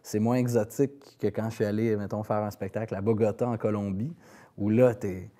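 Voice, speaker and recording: A man's voice: on the low side, steady tone, fairly quick, dark tone. A man with a Quebec French accent speaking casually in an interview as a singer-songwriter, often repeating words as he searches for phrasing.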